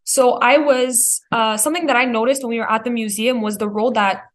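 A young female high school student speaking earnestly and articulately as she explains her thoughts in a discussion. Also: fairly even intonation, a little fast. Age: teens.

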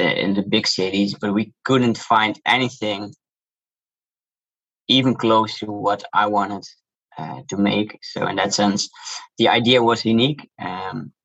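A low-voiced man with a Dutch accent explains his business in a calm, measured interview manner. His voice is husky. The recording sounds dull and lo-fi. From Netherlands. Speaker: shoe brand founder, guest. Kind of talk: interview answers.

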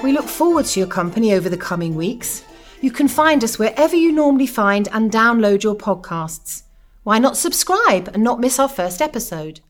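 A woman's voice: a little high, animated, normal speed, faint music behind. A woman co-hosting a podcast, reading scripted trailer narration. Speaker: podcast host.

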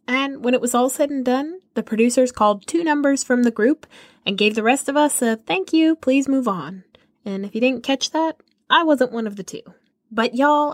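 A woman's voice: on the high side, dry recording, clean studio sound. A young woman hosting a podcast, telling a personal story in an emphatic way and calling listeners "y'all". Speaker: podcast host and musician. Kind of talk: reflective podcast monologue.

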